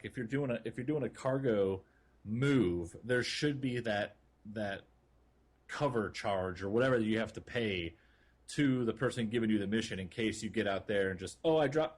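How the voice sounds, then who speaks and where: A man co-hosting a gaming podcast in casual conversation, explaining things in a rambling, halting way. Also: mid-range voice. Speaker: podcast co-host. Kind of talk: casual chat about a video game.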